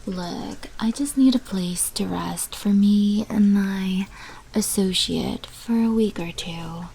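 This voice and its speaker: A woman playing a sly, menacing vampire mafia manager in an ASMR roleplay, speaking in a hushed voice that often drops into whispers. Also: mid-range voice, slow, heavily acted. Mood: guarded, defensive. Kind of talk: ASMR roleplay, scripted character monologue. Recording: clearly noisy background.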